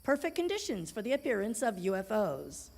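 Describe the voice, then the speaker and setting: A woman's voice: mid-range voice, sing-song, a little fast, vocal fry, big-room sound, background noise. A woman playing a sarcastic, unimpressed co-host of a paranormal TV show, theatrical and dry, in a live comedy audio drama. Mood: brisk, presenting.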